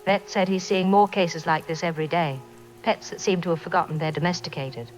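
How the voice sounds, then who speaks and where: An actress playing a calm, watchful radio operator giving measured, procedural reports in an audio drama. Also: female voice, fairly low pitch, a little hiss or hum, natural voice, rough recording. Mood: grave.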